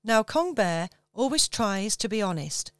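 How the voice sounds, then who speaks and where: Woman narrating a children's story, smooth voice. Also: mid-range voice, big pitch swings, no echo, clean studio sound. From England. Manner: gentle, measured storytelling.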